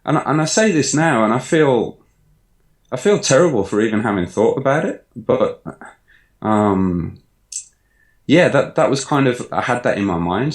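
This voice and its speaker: A man with a Birmingham accent talks in a low, easygoing voice, full of ums and little laughs. He speaks into a desk microphone with a pop filter on a remote call. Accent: Birmingham English. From England. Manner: relaxed, rambling, many ums, laughs easily. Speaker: guest, online fitness coach. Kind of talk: casual interview chat. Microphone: USB desk mic with pop filter.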